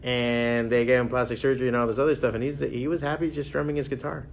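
A man's voice: medium pitch, fast, creaky, a little hiss or hum. A man from the Miami area, a comics creator chatting casually on a convention panel and acting out other people's lines as he tells stories. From Miami area, USA. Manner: animated storyteller who acts out quotes.

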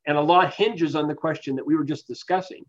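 A man speaking as an expert guest, giving explanatory interview answers in a measured, analytical way. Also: fairly high pitch. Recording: dull, lo-fi voice capture.

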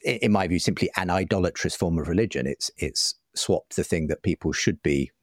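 Male interview guest, fairly low pitch, articulate and thoughtful delivery, dry close sound. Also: fairly quick, no room sound. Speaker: podcast guest, writer and broadcaster. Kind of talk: conversational interview.

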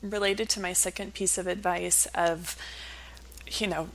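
A woman's voice: lowish voice, steady tone, unhurried, gravelly, poor audio. A woman speaking as an expert guest in an interview, explaining ideas conversationally through anecdotes. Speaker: interview guest, behavioral science researcher.

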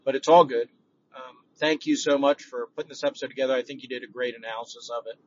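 A man's voice: mid-range voice, fairly even intonation, quick pace. A man leaving a casual voicemail as a podcast listener, speaking in a frank, conversational way. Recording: dull, noisy, distant voicemail recording.

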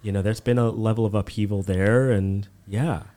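A man in his thirties with a fairly low voice talks in a casual podcast style. He is reflective and a bit rambling, feeling his way through his sentences. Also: steady tone, normal speed, dark tone. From Canada. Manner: reflective, rambling, hesitant phrasing. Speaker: podcast host, nonprofit executive director. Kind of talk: casual conversational podcast chat.

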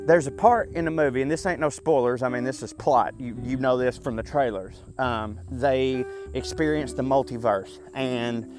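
A man's voice: mid-range voice, moderate pace, few pauses, music in the background. A man in his thirties with a Southern US accent, talking casually in a chatty, profane, rambling way as a podcast host. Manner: chatty, profane, self-deprecating, frequent fillers.

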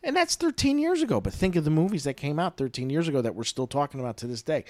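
Podcast guest, male, slightly high voice, dry studio sound, meandering chatty delivery. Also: big pitch swings, quick pace, darkish tone, dry recording. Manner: rambling, heavy on "you know" and "I mean". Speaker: podcast guest, film commentator. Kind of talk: casual film podcast chat.

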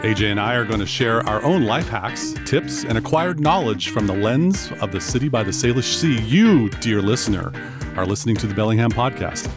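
A man with a baritone voice co-hosting a podcast in casual, rambling chat with fillers and restarts. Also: expressive, normal speed, music in the background. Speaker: podcast co-host.

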